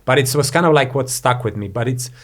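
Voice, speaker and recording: A man's voice: mid-range voice, quick pace, a bit bright. Rambling man from Croatia, says "you know". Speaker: podcast guest, entrepreneur. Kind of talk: casual interview chat.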